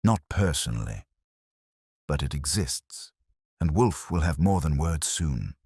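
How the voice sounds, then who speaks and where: Man playing a brash, deep-voiced private detective. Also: very animated, unhurried, darkish tone, slightly rough, no room sound, clean studio sound, full character voice. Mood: cocky. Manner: cocky, pressing, blunt.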